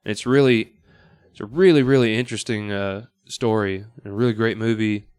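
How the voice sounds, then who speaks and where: A man speaking casually as a guest on a podcast, halting now and then as he thinks aloud. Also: lowish voice, fairly even intonation, a little mellow, clear, resonant tone, dry recording, clean studio sound. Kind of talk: casual chat. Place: podcast studio.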